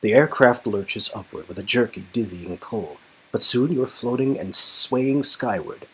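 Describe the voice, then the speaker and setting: A man's voice: mid-range voice, unhurried, husky, rough recording. A man doing a dramatic reading of game dialogue, mixed with rambling, joking casual banter. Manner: animated, improvising, rambling when joking. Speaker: reader performing an interactive game's dialogue.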